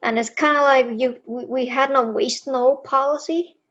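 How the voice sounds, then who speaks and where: A woman's voice: high-pitched, steady tone, moderate pace, fairly bright tone. A woman from Iceland with an accent that mixes Icelandic, American and European sounds, chatting casually as a guest author over an online call. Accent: mixed Icelandic, American and European. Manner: chatty, laughs often. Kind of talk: casual interview chat. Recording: dull lo-fi online call audio.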